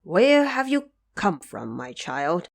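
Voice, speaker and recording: A woman's voice: lowish voice, sing-song, slow, vocal fry, heavily acted. Older celestial king female performer, stately commander and father, formal, authoritative, for fantasy audio drama. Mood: paternal.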